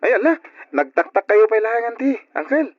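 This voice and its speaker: A dull, dry radio drama recording carries an anxious young nephew. He speaks with an Ilocano accent in emotive exclamations, his pitch leaping about, devoted and eager to please. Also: big pitch swings, moderate pace, no room sound. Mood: grateful, pleased.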